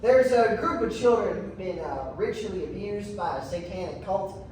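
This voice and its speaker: A man's voice: high-pitched, animated, normal speed, a little mellow, a little hiss or hum. A man playing an earnest, concerned government aide from a governor's office in a stage reading. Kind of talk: theatrical readers theatre acting. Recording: very echoey hall, far from mic.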